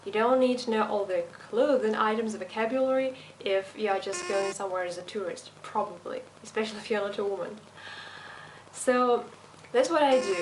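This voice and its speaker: A young woman with a slight European accent, speaking non-native English in a fairly high voice. She lays out her teaching methods in a methodical, explanatory way, pausing on little fillers. A steady hiss runs under the recording. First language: not English. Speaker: language teacher. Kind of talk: teacher self-introduction video.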